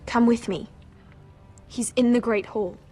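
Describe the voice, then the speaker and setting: An actress playing an earnest, fierce young noble girl in a video game drama, halting yet insistent. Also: fairly high pitch, fairly even intonation, warm tone, husky. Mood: urgent, vengeful. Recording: music behind the voice.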